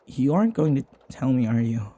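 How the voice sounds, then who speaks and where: A man playing a flustered, submissive servant in an intimate audio roleplay, hesitant and apologetic, stammering with a breathy voice. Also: medium pitch, expressive, fairly quick, warm tone, close to an everyday voice. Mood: shy, resigned. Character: flustered, submissive young male servant devoted to his vampire mistress.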